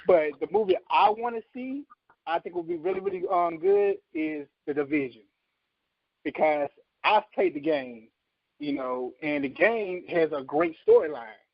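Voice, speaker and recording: A man with a creaky voice giving emphatic opinions in a casual panel chat. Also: sits high, sing-song, normal speed, no echo. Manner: emphatic, insistent, argues with examples. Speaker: podcast panelist. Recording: slightly dull, lo-fi audio.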